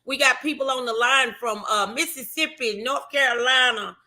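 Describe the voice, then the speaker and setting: A woman's voice: high-pitched, moderate pace, sharp tone. A woman hosting a motivational talk show, speaking in an encouraging, emphatic, preachy way.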